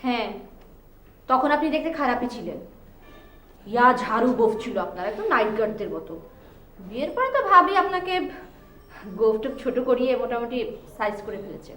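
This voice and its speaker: A woman's voice: fairly high pitch, animated, a little fast, long pauses, a bit bright. An actress playing an outspoken, stubborn young woman in a television drama. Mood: indignant, teasing. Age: young adult. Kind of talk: TV drama acting. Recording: roomy echo, faint music behind.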